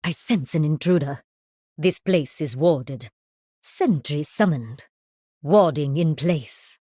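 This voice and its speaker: Indian mystic summoner for a game, lowish voice, very dry sound, formal and commanding. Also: female voice, slow pace, mellow, husky, no room sound, heavily acted. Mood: imperious, ominous. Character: female mystic summoner, composed, commanding, dragon worshipper. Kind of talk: video game voice lines.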